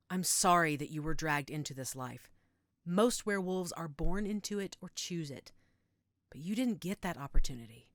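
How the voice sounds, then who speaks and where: A woman's voice: deep voice, dry recording, studio quality. A woman playing a frank, caring female werewolf in an audio roleplay, matter-of-fact and reassuring with a wry edge. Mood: gentle, reassuring. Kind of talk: audio roleplay drama, one-sided conversation.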